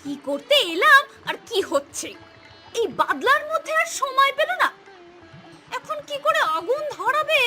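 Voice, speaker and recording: Scornful village villainess, female actor: very high, sneering cartoon delivery, music underneath. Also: very animated, unhurried, long pauses, bright, forceful tone, heavily acted. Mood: irritated. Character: spiteful, scornful village sister-in-law, villainess. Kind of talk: cartoon voice acting.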